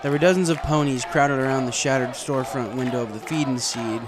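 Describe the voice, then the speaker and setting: A man's voice: mid-range voice, steady tone, brisk, crowd noise, noisy background. A man reading a story aloud as scripted narration. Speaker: story reader. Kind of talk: scripted narration of fan fiction.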